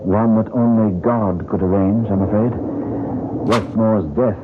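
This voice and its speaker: An actor playing an earnest, lovelorn gentleman in a radio melodrama, speaking in a formal, theatrical way. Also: lowish voice, fairly even intonation, a little slow, background noise, close to an everyday voice. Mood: despairing. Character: earnest, lovelorn gentleman narrating his journal. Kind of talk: old-time radio drama acting and narration. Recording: narrow-band old radio recording, loud music.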